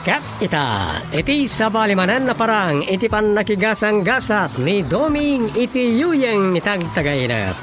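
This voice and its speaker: Male radio drama announcer, Ilocano accent. High, very animated pitch, emphatic theatrical delivery, music underneath. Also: unhurried. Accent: Ilocano Filipino.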